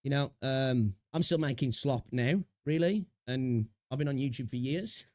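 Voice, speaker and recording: A man's voice: medium pitch, normal speed, a little mellow, dry recording, clean studio sound. A young man chatting casually on a live stream, a little sniffly with a sore throat, rambling and greeting viewers. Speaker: streamer reacting to videos.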